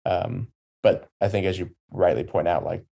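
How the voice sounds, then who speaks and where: A man's voice: low voice, moderate pace, gravelly, dark tone, husky. A man on a podcast discussing a film, speaking in an earnest, conversational way. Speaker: podcast guest. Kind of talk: casual film review discussion.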